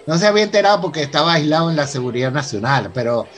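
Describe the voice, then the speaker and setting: A Venezuelan actor playing a polite, earnest professor in a radio soap opera, explaining things with hesitant stammers. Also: male voice, fairly high pitch, lively intonation, rapid-fire, fairly bright tone. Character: polite, earnest university professor and new son-in-law.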